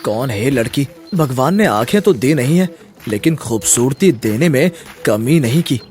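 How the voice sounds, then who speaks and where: A man's voice: mid-range voice, animated, normal speed, a little mellow, faint music behind, lightly acted. A man voicing a lecherous, menacing villain with a gloating manner in a story melodrama. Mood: leering, gloating. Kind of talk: audio story melodrama acting.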